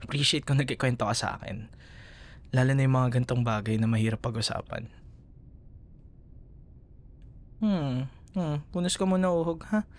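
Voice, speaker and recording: A man with a Filipino accent playing a gentle, protective young boyfriend in an intimate ASMR roleplay, soothing and murmuring tenderly. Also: medium pitch, brisk, a little hiss or hum, close to an everyday voice. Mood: reassuring, concerned.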